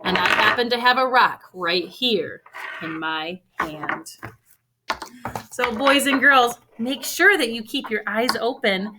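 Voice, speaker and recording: A woman reading a picture book aloud to children expressively, with deliberate emphasis on key phrases. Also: a little high. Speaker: read-aloud storyteller for children. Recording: faint background noise.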